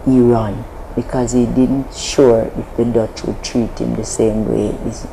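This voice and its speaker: A Jamaican woman speaks at a very slow, measured pace, her voice gravelly with fry. She tells a long history as an interview guest, deliberate and restarting her sentences. A steady hiss sits under the recording. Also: a bass voice. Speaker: interview guest, Maroon leader. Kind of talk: unhurried storytelling in an interview.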